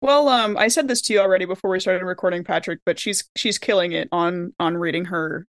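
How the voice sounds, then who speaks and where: A woman in casual, animated podcast conversation with an informal, slangy way of talking. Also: fairly low pitch, a little fast, no room sound. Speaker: podcast panelist. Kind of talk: casual chat.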